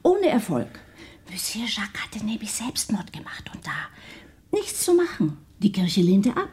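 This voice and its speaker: Mellow, guarded maid, animated inflection. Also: female voice, mid-range voice, measured pace. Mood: hesitant, confiding. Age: 30s. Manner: guarded, discreet, confiding. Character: reserved, discreet household maid, woman about thirty. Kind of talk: 1960s German radio play acting.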